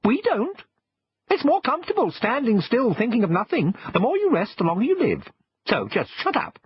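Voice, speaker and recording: A grumpy, complacent storybook toy, English and dismissive. The voice sits very high, well above normal talk, on a slightly dull recording. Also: male voice, wildly swooping pitch, moderate pace, a little hiss or hum, exaggerated voice. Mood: irritable. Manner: dismissive, irritable. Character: grumpy, complacent wooden shop toys, children's audiobook.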